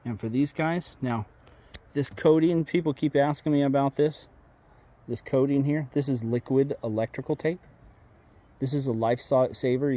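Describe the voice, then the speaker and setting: A man's voice: mid-range voice, mellow. A man with an American accent giving a casual, plainspoken hands-on walkthrough as a vlogger. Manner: plainspoken, informal. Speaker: vlogger showing his vehicle radio install.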